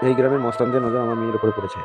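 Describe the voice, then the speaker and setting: A man's voice: medium pitch, fairly even intonation, rapid-fire, natural voice. A man voicing a worried, middle-aged village priest and protective father in a cartoon comedy, plaintive and earnest. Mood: worried, pleading. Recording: loud music behind the voice.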